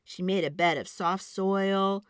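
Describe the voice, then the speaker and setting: A woman reading a children's storybook aloud in a dramatic, expressive and deliberate narration. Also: mid-range voice, smooth, no room sound, clean studio sound.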